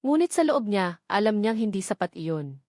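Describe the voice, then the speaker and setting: A woman's voice: medium pitch, sing-song, normal speed, dark tone, no echo, clean studio sound. A woman with a Filipino accent narrating a scripted dramatic romance story.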